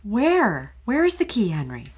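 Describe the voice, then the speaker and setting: Snooty scheming rich wife, bossy and cutting, swoopy voice, radio drama. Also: female voice, mid-range voice, huge pitch swings, measured pace, darkish tone, husky, full character voice. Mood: probing. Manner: haughty, scolding, cutting. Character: cold, scheming wealthy wife, imperious. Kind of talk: old-time radio drama acting.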